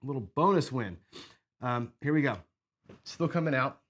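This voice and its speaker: A man hosting a craps strategy show, hoarse and sniffly, chatting casually as he thinks aloud and counts out chips and bets. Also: medium pitch, expressive, moderate pace, noisy background. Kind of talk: casual play-along commentary.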